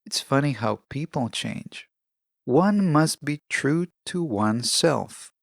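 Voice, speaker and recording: Guy doing a reflective solo podcast, some vocal fry, mid pitch, measured and earnest, really dry close mic. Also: no room sound. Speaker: podcast host.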